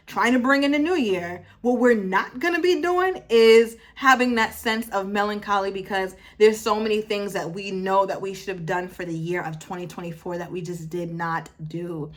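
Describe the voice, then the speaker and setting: Young woman, twenties, motivational vlogger, mid-pitched voice, emphatic, repeats phrases for punch, corrects herself mid-sentence. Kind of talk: casual motivational talk to camera.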